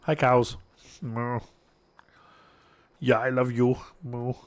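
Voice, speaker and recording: A man's voice: mid-range voice, flat delivery, takes it slowly. A man chatting casually and rambling to viewers while playing a farming game, laughing often. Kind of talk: casual gameplay chat.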